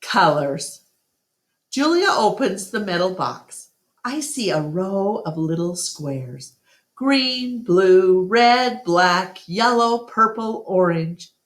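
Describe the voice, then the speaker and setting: A woman reading a children's book aloud as a storytime narrator, with deliberate, expressive phrasing. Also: medium pitch. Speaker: storytime reader. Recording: roomy, echoey home recording.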